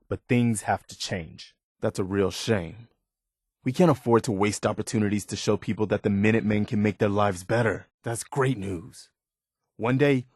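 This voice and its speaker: Casting: male actor as steadfast, hopeful militia officer for a game; lowish voice, sincere measured delivery, close dry studio sound. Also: no room sound, natural voice. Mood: disappointed, firm, then earnest, hopeful. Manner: sincere, measured, plain-spoken. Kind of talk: video game dialogue acting.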